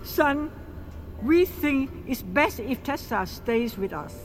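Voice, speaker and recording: A worried older mother in an audio drama. Her fairly high voice moves widely, firm and pressing, in long reverb. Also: lively intonation, slow pace, heavily acted. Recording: long reverb, faint music.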